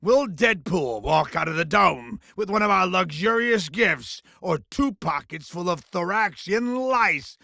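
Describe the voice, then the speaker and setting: A man's voice: high-pitched, lively intonation, a little slow, vocal fry, few pauses, bright, forceful tone, no echo, clean studio sound, heavily acted. A man voicing a bombastic, gleefully cruel alien game-show host villain in a video game, with a hammy, grandiose announcing delivery. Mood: excited hype. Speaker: voice actor.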